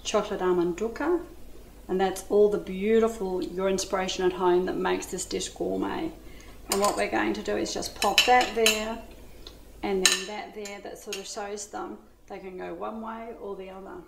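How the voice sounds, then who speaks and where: A woman's voice: mid-range voice, fairly even intonation, moderate pace, gravelly. A middle-aged woman with an Australian accent demonstrating a dessert recipe in a home kitchen, chatty and enthusiastic, addressing viewers as ladies. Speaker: cooking demonstrator. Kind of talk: cooking demonstration. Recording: echoey kitchen with light noise.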